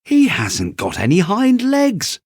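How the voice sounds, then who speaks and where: A man voicing a cocky young male wild rabbit in a bedtime storybook, brisk, boastful and needling. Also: sits high, huge pitch swings, a little mellow, no echo, clean studio sound, voice pushed up, full character voice. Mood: mocking, excited.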